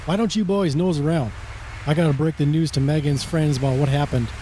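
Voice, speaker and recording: A man's voice: fairly high pitch, steady tone, fairly quick, mellow, close to an everyday voice, rough recording. A man playing a folksy small-town sheriff giving orders in an amateur horror radio play, with faint hiss. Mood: brisk authority.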